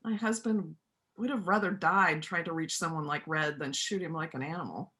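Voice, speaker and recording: A woman plays a principled widowed country animal doctor in a stage reading. Her voice is bright and forceful, and she speaks plainly, with earnest, persuasive conviction and gentle firmness. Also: low-pitched, normal speed. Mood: earnest, firm. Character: principled widowed country vet, gentle but firm pacifist. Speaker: amateur church play performer. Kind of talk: reader's theater performance.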